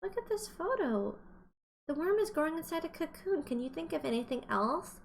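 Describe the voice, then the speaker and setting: A woman's voice: high voice, sing-song, moderate pace, gravelly, a little hiss or hum. A woman teacher reading aloud to young children, explaining as she goes and asking questions in an exaggerated, encouraging way. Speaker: kindergarten teacher.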